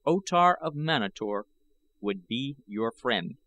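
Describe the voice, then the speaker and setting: A man's voice: medium pitch, animated, takes it slowly, pushed and bright, no echo. A man voicing an imperious, scheming Martian king with a commanding, formal manner in an audiobook. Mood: wooing.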